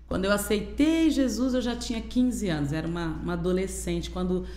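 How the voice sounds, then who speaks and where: A woman in her forties, a pastor sharing her life story with candid earnestness. Her mid-pitched voice carries the echo of the room. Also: a little fast, warm tone. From Pernambuco, Brazil. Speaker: guest pastor. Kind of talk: personal testimony in podcast conversation.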